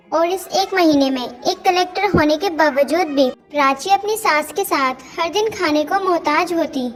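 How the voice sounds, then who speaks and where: A woman reading scripted narration for a Hindi moral story, with music behind her. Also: extremely high pitch.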